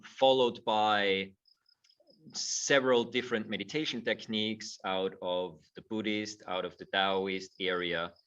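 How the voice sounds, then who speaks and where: A man's voice: low-pitched, fairly bright tone, far from the mic, dull audio. A middle-aged man from Austria, a guest in a conversational interview who speaks thoughtfully and repeats words as he starts his phrases. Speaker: guest, movement teacher.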